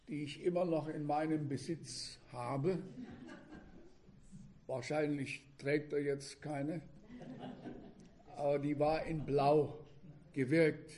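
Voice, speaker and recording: An elderly man in his eighties preaching to a congregation, speaking in deliberate, measured phrases with pauses and sharing personal reminiscences. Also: a little high, steady tone, warm tone, slight background noise. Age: 80s. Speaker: preacher, former religious radio broadcaster.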